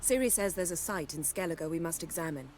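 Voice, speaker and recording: An actress playing a composed, suspicious sorceress in a video game cutscene, with formal, pointed phrasing. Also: female voice, on the low side, expressive, fairly quick, a little mellow, natural voice.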